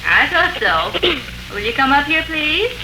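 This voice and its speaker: Radio-serial mother, high-pitched, coaxing yet firm, calling from off, heavy hiss on vintage recording. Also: expressive, slow pace, exaggerated voice. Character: patient, firm mother calling her young daughter. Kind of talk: 1940s radio serial acting. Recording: noisy 1940s radio, distant voice.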